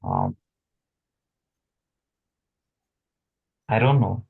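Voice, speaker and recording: A man playing a weary, wry assassin in a screenplay table read, talking in a conversational, halting way. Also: sits low, huge pitch swings, creaky, narrow, tinny audio, heavily acted. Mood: defensive. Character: weary, chatty male assassin, wry under threat.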